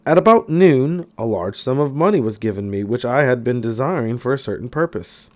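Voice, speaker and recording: Man reading self-help with lively, swinging intonation. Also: mid-range voice, brisk. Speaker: audiobook reader. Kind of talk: scripted audiobook narration of a self-help text.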